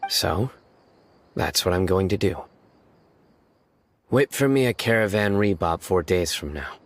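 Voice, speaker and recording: A man playing a stern, stoic enforcer in a video game, speaking in terse, measured phrases. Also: deep voice, animated, a little hiss or hum. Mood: resolute. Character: stern, stoic male enforcer of justice, resolute. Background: faint music.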